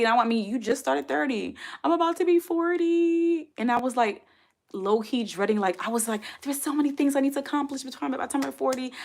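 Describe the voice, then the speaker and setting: A woman in her forties hosting a casual livestream from home, reading viewer comments aloud and chatting back with frequent laughs. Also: high-pitched. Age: 40s. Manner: chatty, talks straight to chat, laughs often. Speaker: livestream host.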